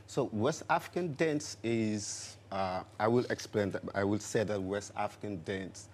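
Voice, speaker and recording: A middle-aged man from Senegal, a dance teacher, talking haltingly in a TV studio interview. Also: fairly low pitch, slight background noise. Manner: warm, halting, with many fillers and restarts.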